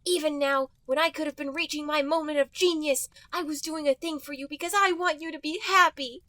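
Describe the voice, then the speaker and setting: A high, close-sounding cartoon fox boy, acted out by a woman, earnest and wounded, speaks in long reproachful sentences. He is the aggrieved best friend in a comic story reading. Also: steady tone, fairly quick, pushed and bright, no room sound. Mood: earnest, hurt.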